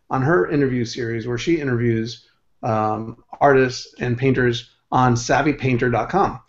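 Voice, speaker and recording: A man hosting an interview show, joking and teasing his co-host and guest over a video call. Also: fairly high pitch. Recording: muffled video call audio.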